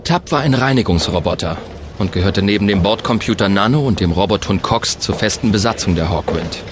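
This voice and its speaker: Low-voiced, thoughtful space captain, played by a man. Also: expressive, fairly quick, a little hiss or hum, close to an everyday voice. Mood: reflective. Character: male space traveller, thoughtful, kindly captain of a small ship. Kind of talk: scripted German sci-fi audio drama, narration and dialogue. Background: music.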